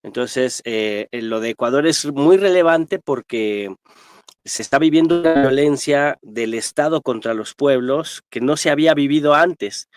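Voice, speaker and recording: A man's voice: mid-range voice, a little slow, clean tone, dry recording, faint music behind. Mexican man, dry close sound, emphatic analyst. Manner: emphatic, militant, frequent tag questions. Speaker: guest historian and political analyst. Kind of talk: spontaneous political commentary.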